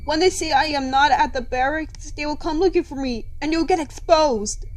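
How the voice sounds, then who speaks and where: A woman's voice: high voice, normal speed, pitched above the performer's own voice, lightly acted. A woman voicing a frightened, trusting male robot captive in a dramatic story reading, pleading and stammering. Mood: desperate pleading. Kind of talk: amateur dramatic fan fiction reading.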